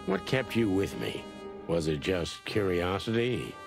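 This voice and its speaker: An actor playing a world-weary, terse rooster detective in a film-noir adventure game. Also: male voice, sits low, sing-song, unhurried, creaky, music in the background, full character voice. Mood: sober. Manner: terse, hard-boiled, dry.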